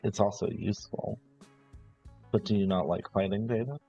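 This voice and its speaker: Guy playing powerful family man in a tabletop game, formal n persuasive, low husky voice, bit muffled. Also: fairly even intonation, moderate pace, dark tone, faint music behind. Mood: persuasive, measured. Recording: slightly dull online stream audio.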